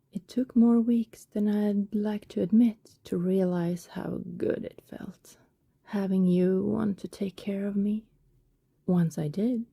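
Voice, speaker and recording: A woman performs an affectionate, apologetic vampire woman in an intimate ASMR roleplay. Her voice is very mellow and whispery, with fry at the edges. She confides softly and hesitantly in a close, dry recording. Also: medium pitch, no room sound, heavily acted. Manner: soft, breathy, whispery, hesitant confiding delivery. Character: affectionate female vampire neighbor, guilty, tender and reassuring.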